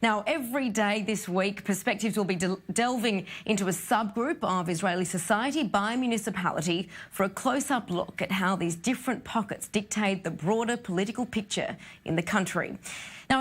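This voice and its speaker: Woman news anchor, studio, formal crisp read, scripted presentation. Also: medium pitch.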